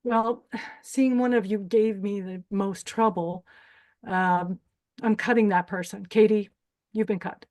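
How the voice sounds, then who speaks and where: A woman's voice: mid-range voice, fairly even intonation, slow, darkish tone, close to an everyday voice. A woman playing a cold, commanding older pageant organizer with a menacing, clipped manner in a screenplay table read. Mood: stern.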